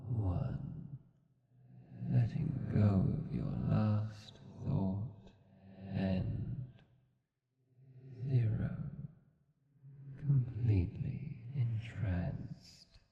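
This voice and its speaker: Man voicing a low, gravelly Australian vampire husband. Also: exaggerated voice. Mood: calm, coaxing. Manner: soothing, coaxing, measured, sometimes dropping to a whisper. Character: gentle, affectionate male vampire husband, hypnotic and commanding. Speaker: ASMR roleplay voice actor. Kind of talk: slow ASMR hypnosis roleplay. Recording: muffled, roomy sound with long reverb.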